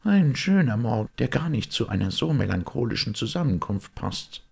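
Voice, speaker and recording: A man voicing a big, genial elderly doctor in an audiobook, soft and airy in manner. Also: mid-range voice, big pitch swings, moderate pace, creaky, breathy edge, pitched above the performer's own voice, exaggerated voice. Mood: airy pleasant.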